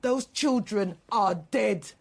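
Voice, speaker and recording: A woman's voice: mid-range voice, slow, gravelly, a little mellow. An actress playing an angry, grieving mother under police questioning, defiant and colloquial, in a naturalistic radio drama. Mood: bitter, scornful.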